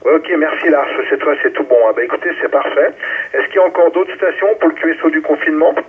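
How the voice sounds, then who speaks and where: A husky-voiced man acts as controller on an amateur radio net. He hands over and checks call signs in an informal way. The sound is thin and muffled, squeezed through a radio relay. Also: a little high, fast. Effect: ham radio transmission. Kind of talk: running a ham radio round-table, call signs. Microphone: radio transceiver microphone.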